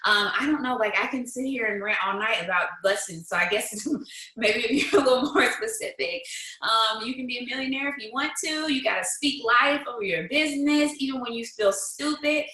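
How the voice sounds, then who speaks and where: Bright bold woman in her 30s telling a story, laughs a lot, echoey room. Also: on the high side, fairly quick. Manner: animated, laughs often, bold and emphatic. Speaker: guest, business leader. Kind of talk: casual storytelling, motivational.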